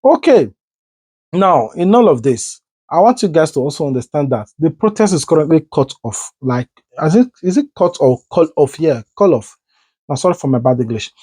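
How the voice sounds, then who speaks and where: A man with a Nigerian accent talks through the news to his viewers in a loose, conversational way, repeating and correcting himself. His voice sits a little high but sounds warm and mellow. The recording is dry and close. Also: sing-song, normal speed, breathy edge, dry recording. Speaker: online news presenter. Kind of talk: commentary to camera.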